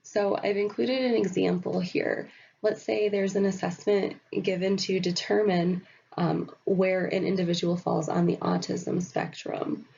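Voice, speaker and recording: A woman's voice: medium pitch, steady tone, unhurried, gravelly, dark tone, slightly rough, lo-fi sound. A woman recovering from an illness, sounding nasal, explains a topic in a recorded slide presentation with many fillers. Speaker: student presenter.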